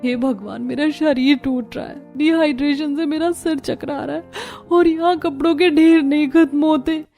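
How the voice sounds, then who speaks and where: Lady doing fed up young indian housewife in a cartoon, high voice, sarcastic, music behind. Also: fairly even intonation, moderate pace, warm tone. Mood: weary. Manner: complaining, sarcastic. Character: overworked, exasperated young daughter-in-law of a big household. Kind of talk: Hindi cartoon story acting.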